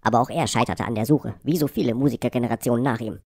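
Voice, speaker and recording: A man's voice: mid-range voice, racing, vocal fry, music in the background, natural voice. A man playing a bossy cartoon secret-agency chief, giving commanding, mock-serious briefings. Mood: stern, urgent. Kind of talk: satirical cartoon dialogue.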